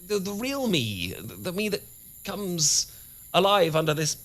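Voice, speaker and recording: A British man playing an adorkable, nervous and eager boyfriend with a secret monster side, stammering and halting in a romantic audio roleplay. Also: high voice, sing-song, a bit bright, heavily acted. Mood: anxious, tender. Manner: flustered, heavy stammering and halting restarts. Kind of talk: romantic audio roleplay acting, addressed to listener.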